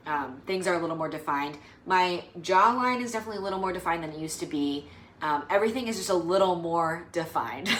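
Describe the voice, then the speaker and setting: A woman's voice: on the low side, a little hiss or hum. A young adult woman vlogging casually to the camera, rambling and hesitant with lots of fillers. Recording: roomy echo.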